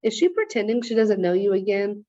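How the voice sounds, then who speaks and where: A woman's voice: mid-range voice, very animated, fairly quick, mellow, dry recording, lightly acted. A blunt little girl from a children's storybook, read by a woman, bossy and full of puzzled questions. The recording sounds dry and a bit dull. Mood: puzzled, insistent.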